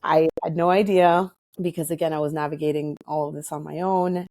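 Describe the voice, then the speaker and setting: Low, mellow American woman telling stories casually. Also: fairly even intonation, brisk, no room sound. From Hawaii. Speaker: interview guest. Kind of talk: casual personal storytelling in conversation.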